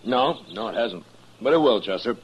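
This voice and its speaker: Very deep-voiced, wry frontier marshal played by an actor. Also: male voice, expressive, normal speed, close to an everyday voice. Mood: calm, reflective. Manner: dry, laconic, gently teasing. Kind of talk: 1950s radio western acting. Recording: dull, faintly noisy old radio recording.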